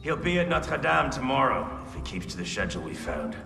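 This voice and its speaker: A man playing a cynical, gruff veteran assassin in a video game, blunt and sardonic. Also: medium pitch, very animated, fairly quick, pushed and bright, soft background music, heavily acted. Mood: guarded. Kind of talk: dramatic video game dialogue. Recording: roomy echo, faint noise.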